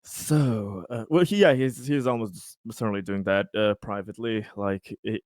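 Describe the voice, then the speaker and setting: A man with a low voice chats as a player in a roleplaying game. He is recorded close and dry, and he often says a word or phrase twice over. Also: moderate pace, a little mellow, no echo. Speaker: player in a tabletop RPG. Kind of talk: casual chat.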